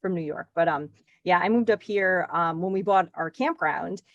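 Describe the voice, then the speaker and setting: A woman in her forties from Connecticut, chatting as a podcast guest in a lively, talkative way with frequent laughs and filler words. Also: lowish voice, moderate pace, no echo, lo-fi sound. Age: 40s. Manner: chatty, animated, laughs often, lots of "like". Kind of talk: casual interview chat.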